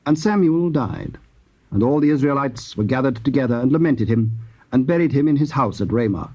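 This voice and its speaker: A man narrating dramatized scripture in a formal, solemn and measured storytelling style. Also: medium pitch, lively intonation, warm tone. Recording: muffled, hissy old cassette tape.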